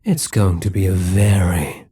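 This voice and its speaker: A man playing a dominant, gloating Demon King in an intimate ASMR roleplay, smug and coaxing, with a breathy, husky voice that often drops toward a whisper. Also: deep voice, fairly even intonation, a little slow, dark tone. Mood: coolly triumphant. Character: dominant, gloating male Demon King toying with a captive hero.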